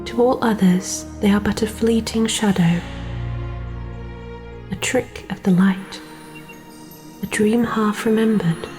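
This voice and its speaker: A gentle, solemn storyteller of fairy legend, voiced by a woman, speaks in a hushed, breathy murmur. The delivery is slow and mellow, in fantasy ASMR style, over faint music. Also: mid-range voice, steady tone, natural voice. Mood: hushed wonder. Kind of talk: cinematic fantasy ASMR narration.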